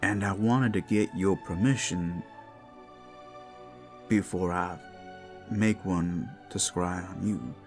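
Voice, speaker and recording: Man acting a low-voiced, devoted werewolf lover. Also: soft background music. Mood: warm, teasing. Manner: soft endearments, halting heartfelt delivery, teasing asides. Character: tender, devoted, protective male werewolf lover working at a brothel. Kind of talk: intimate ASMR roleplay acting.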